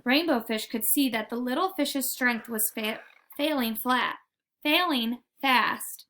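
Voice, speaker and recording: A woman narrating a children's picture book in an expressive, deliberate storytelling manner. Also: a little high. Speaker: read-aloud storyteller.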